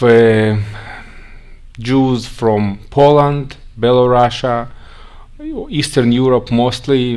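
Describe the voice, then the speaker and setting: A man with a Russian accent, raised in Siberia, giving a conference talk in a halting way with frequent restarts. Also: medium pitch, darkish tone, clear, resonant tone. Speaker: guest speaker.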